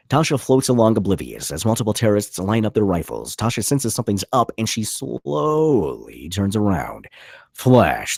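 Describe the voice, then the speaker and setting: Male table-read narrator: raspy, punchy, lively pitch swings, dry close sound. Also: no echo. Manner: vivid, punchy reading of action. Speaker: narrator reading stage directions.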